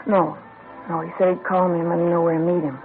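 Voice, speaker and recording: A woman's voice: on the low side, flat delivery, quick pace, faint music behind, natural voice. An actress playing a guarded female informant in an old radio drama, blunt and clipped. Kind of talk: 1950s radio drama acting. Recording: tinny lo-fi old radio broadcast.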